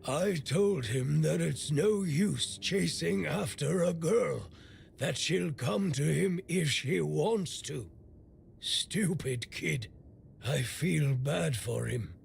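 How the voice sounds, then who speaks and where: An actor playing a bitter, blunt, middle-aged blacksmith in a video game, grumbling and weary with worry. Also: male voice, a little high, animated, slow pace, vocal fry, a little hiss or hum, heavily acted. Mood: weary, worried. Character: gruff, bitter male blacksmith, worried for a stricken young man.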